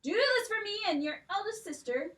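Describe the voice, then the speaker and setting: A woman's voice: very high voice, big pitch swings, fairly quick, a bit bright, far from the mic, pitched above the performer's own voice, heavily acted. A woman playing a curious, scheming old king in a children's puppet show, speaking stately and questioning.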